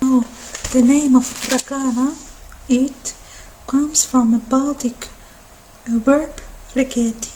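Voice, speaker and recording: Female ASMR host, fairly high and even in pitch, halting delivery, some background noise. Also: poor audio. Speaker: video channel host. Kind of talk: ASMR-style informal mythology talk.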